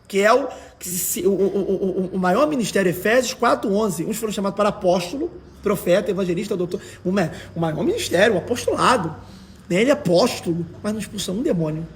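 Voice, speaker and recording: A man with a hoarse, raspy voice and a Brazilian accent, a pastor preaching informally and emphatically on a livestream. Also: high voice. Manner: emphatic, exhorting, colloquial, with rhetorical questions. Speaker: evangelical pastor.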